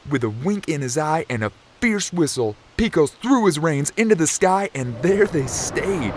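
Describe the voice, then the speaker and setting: A man's voice: on the high side, very animated, normal speed. A man narrating a scripted story as a dramatic storyteller. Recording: loud ambient noise behind voice.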